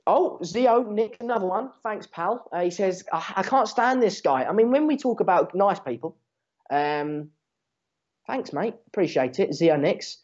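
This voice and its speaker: A man's voice: sits high, expressive, a little slow, a little mellow. English teen guy doing a football watchalong, dutch first language, matey n sarcastic, swears a bit, kinda muffled lo-fi audio. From England. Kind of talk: casual live match commentary and chat with viewers. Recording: dull lo-fi stream mic.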